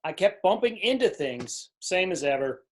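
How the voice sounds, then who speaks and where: A man acts a petulant storybook king in a highish voice that rises and falls freely. The manner is insistent and complaining, that of a ruler who is easily vexed. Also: big pitch swings, moderate pace. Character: petulant, demanding king, fretful and easily enraged. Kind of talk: readers theater storybook reading.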